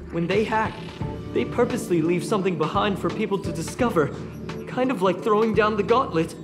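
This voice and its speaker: A woman voicing an earnest, excitable young investigator in a sci-fi video game, expressively thinking aloud. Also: fairly low pitch, natural voice. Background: background music.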